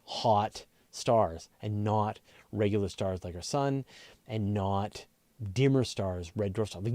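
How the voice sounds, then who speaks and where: Mellow-voiced man explaining things, dry sound. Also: fairly low pitch, dry recording. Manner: conversational, explanatory, thinks aloud. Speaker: host of a space and astronomy question show. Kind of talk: casual live Q&A, explaining to viewers.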